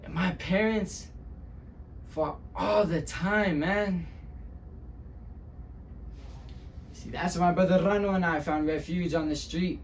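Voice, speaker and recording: Wounded young Mexican-American man, acted by a male performer, stage monologue; slow, halting, higher-pitched, dramatic. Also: a little hiss or hum. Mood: pained, bitter. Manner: dramatic, halting delivery with long pauses. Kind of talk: dramatic interpretation monologue, speech-competition acting.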